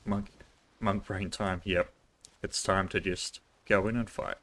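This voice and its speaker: A man's voice: low voice, big pitch swings, measured pace, fairly bright tone, a little hiss or hum. A man giving casual live commentary as he plays a video game, chatty and informal, thinking aloud. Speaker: game streamer. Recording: game music in the background.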